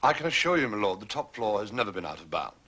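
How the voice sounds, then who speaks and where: An obsequious butler in a period radio mystery. His voice is forceful yet slightly rough, and he talks briskly in formal, carefully evasive phrases, never losing his composure. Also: lowish voice, very animated, heavily acted. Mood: smoothly explanatory. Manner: formal, obsequious, measured hesitations. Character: smooth, deferential male butler, unflappable and evasive. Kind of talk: British period mystery drama acting.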